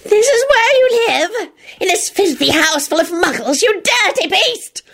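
A woman voicing a filthy, spiteful male house elf in a storybook, screeching accusing insults in a high-pitched voice. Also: big pitch swings, measured pace, creaky, fairly bright tone, heavily acted. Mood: screeching fury.

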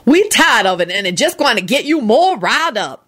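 A woman's voice: on the high side, very animated, normal speed, pitched above the performer's own voice. A woman voicing a bossy, outspoken older cook who grumbles and lectures in folksy, ungrammatical speech. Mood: scolding. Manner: loud, folksy, ungrammatical talk with shrieks.

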